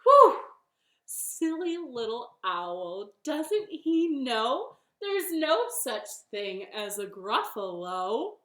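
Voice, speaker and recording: A woman's voice: fairly high pitch, big pitch swings, drawn-out words, fairly bright tone, heavily acted. A woman voicing a clever little female storybook bunny, hesitant and bluffing, with a secretly proud streak. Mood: smug. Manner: hesitant, stalling ums and ohs. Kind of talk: animated children's storytelling. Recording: roomy, echoing sound.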